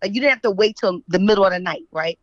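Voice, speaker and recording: A woman's voice: medium pitch, quick pace, no room sound. A middle-aged woman with a Philadelphia accent mixed with New York, chatting casually and telling stories in slang as an interview guest. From West Philadelphia, United States. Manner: animated slangy storytelling, checks in with "right?". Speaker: radio show guest, writer. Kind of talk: casual interview chat, reminiscing. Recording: dull, muffled audio.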